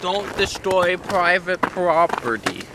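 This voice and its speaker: Goofy young man vlogging over loud noise. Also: sits high, sing-song, slow, distant mic. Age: young adult. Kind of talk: casual joking vlog chat. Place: inside a moving car and outdoors. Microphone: camera mic, at a distance. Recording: handheld camera, loud car and outdoor noise.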